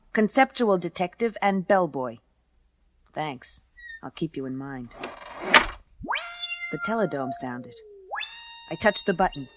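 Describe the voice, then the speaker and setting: A woman playing a tough, wry space detective in a noir radio play, deadpan and clipped. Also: lowish voice. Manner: deadpan, clipped, hard-boiled. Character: tough, wry female space detective narrating her case.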